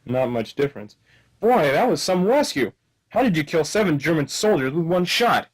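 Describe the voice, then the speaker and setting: A husky-voiced, clueless action hero from a comic radio play acted by a man. His pitch swings up and down as he exclaims and wisecracks. He is naive and excitable, a bumbler with no memory. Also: moderate pace. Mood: amazed.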